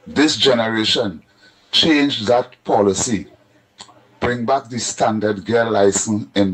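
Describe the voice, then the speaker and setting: Insistent Trinidadian man, low voice. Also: low-quality recording. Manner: emphatic, repetitive, insistent. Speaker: caller in a voice note. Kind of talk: call-in rant.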